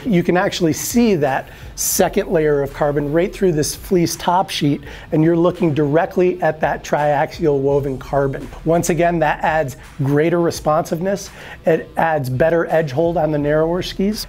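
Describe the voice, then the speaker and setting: Man, 40s, product presenter, explanatory, upbeat, slightly raised pitch, indoor showroom, lapel mic. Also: a little mellow. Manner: upbeat, explanatory, gestures at skis while talking. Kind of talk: scripted product walkthrough. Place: ski shop showroom, indoors.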